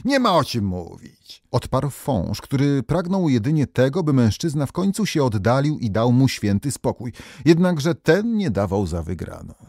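A man's voice: mid-range voice, very animated, moderate pace, few pauses, mellow, no echo, clean studio sound. A man narrating folk tales as a storyteller, reading from a script. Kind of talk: scripted fairy-tale narration.

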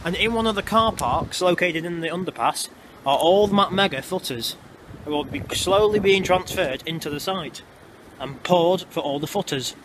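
A man giving chatty, explanatory walking-tour commentary, recorded outdoors on a handheld camera. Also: high voice. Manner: chatty, explanatory, a bit halting. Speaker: vlogger. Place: outdoors in urban streets and underpass. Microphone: handheld camera mic. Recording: noisy outdoor street sound. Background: traffic and street ambience.

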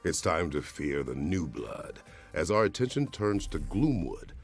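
A man co-presenting a games showcase with dramatic scripted narration in a gravelly voice. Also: low voice, big pitch swings, unhurried. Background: music.

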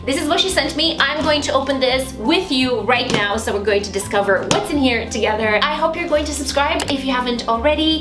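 Woman, 30s, gushing beauty vlogger, high-pitched, echoey room. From Romania. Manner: bubbly and gushing, with squeals. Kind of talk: unboxing haul vlog. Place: small bright indoor home studio.

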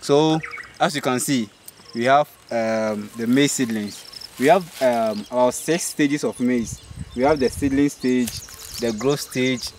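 A man's voice: medium pitch, a little slow, darkish tone, slight background noise. A man in his thirties with a Ghanaian accent, a farmer patiently explaining crop stages outdoors in a field. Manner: patient, explanatory, checks understanding. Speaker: maize farmer. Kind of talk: explaining farming in an interview. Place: open farm field outdoors. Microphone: host's handheld phone.

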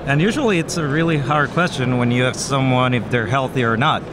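A man's voice: mid-range voice, brisk. A young man, an agronomist guest, explaining earnestly with everyday analogies into a desk mic at a trade show booth. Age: young adult. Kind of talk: explanatory interview answers. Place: busy expo hall booth. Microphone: desk microphone on stand. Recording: background noise of trade show floor.

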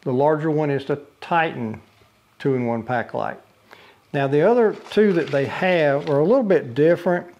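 A man's voice: a little high, moderate pace, a little mellow, highs cut off. An older man casually demonstrating a camping lantern in his living room, talking plainly with frequent uhs and restarts. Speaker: product reviewer. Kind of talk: casual product demo. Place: living room with a brick wall, indoors.

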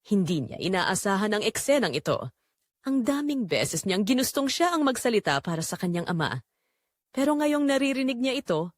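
A woman narrating a scripted dramatic story in a solemn, deliberate, emotive way. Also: lowish voice, dry recording, studio quality.

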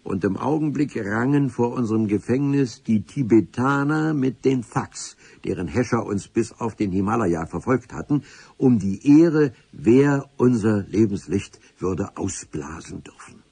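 An actor playing a solemn, pedantic civil servant who narrates a radio play in formal, wordy sentences with a husky voice. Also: male voice, on the low side, animated, dark tone, dry recording, exaggerated voice. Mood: solemn, wry. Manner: formal, wordy, dramatic pauses.

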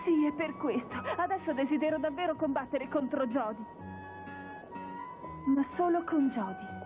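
A woman plays a reluctant, sincere teenage girl in a cartoon dub. Her voice is high and earnest. It sounds thin and phone-like, with music behind. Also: normal speed, close to an everyday voice. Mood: sincere resolve. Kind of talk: cartoon dubbing.

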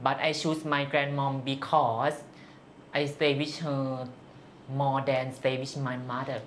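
An interview guest from northeast Thailand with a Thai accent, giving personal answers in halting, simple non-native English. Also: mid-range voice, flat delivery, a little hiss or hum.

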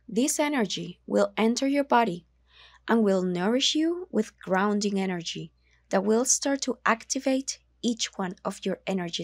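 Female meditation narrator, mid-pitched, very measured and soothing, slow delivery with room to breathe. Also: darkish tone, dry recording, studio quality. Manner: soothing, slow, measured instructions with long pauses. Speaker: meditation guide.

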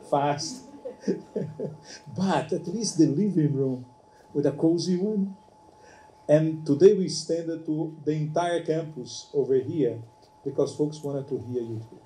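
A middle-aged man, an ESL professor, hosting a class event in a campus meeting room, speaking haltingly with many fillers and restarts, picked up by a far camera. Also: on the high side, warm tone, reverberant. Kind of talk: hesitant, warm classroom hosting.

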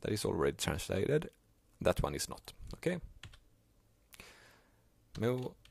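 A man whose first language is Swedish, explaining a software task step by step in a plain, unhurried way. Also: fairly low pitch, very animated, gravelly. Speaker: software tutorial maker. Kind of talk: screen-recorded software tutorial.

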